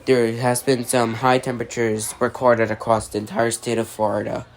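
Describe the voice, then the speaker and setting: An amateur voice actor reading a scripted mock emergency broadcast as an announcer, halting and stumbling with repeated phrases. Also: mid-range voice.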